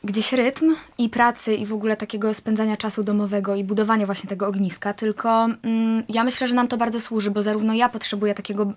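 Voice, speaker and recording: A woman's voice: mid-range voice, level tone, fast, hardly any pauses. A woman in a casual interview, talking at length and reflecting with frequent fillers. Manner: talkative, reflective, many fillers. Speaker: interview guest, actress and singer.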